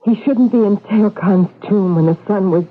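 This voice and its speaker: A muffled old radio recording of an actress playing an anxious Egyptian woman steeped in legend. Her voice is husky and halting, and she speaks with dramatic dread of ancient forces. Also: mid-range voice, lightly acted. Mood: shaken, faltering. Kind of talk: vintage radio drama acting.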